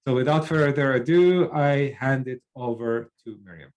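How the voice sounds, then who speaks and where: A middle-aged man from Lebanon, a program manager opening a webinar from a child's bedroom on a video call, talking haltingly with many fillers and restarts. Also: medium pitch, darkish tone.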